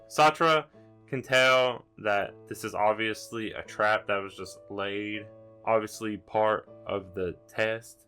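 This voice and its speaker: A man's voice: mid-range voice, pushed and bright. A man narrating a tabletop roleplaying game as game master, explaining things in an improvised way.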